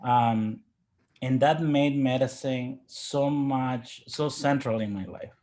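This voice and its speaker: A man who grew up in Ecuador speaks as an interview guest, with Spanish as his first language. He reflects on personal experience in a halting, thoughtful manner, often restarting his words at a middling pitch. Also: darkish tone. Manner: halting, thoughtful, with repeated word starts.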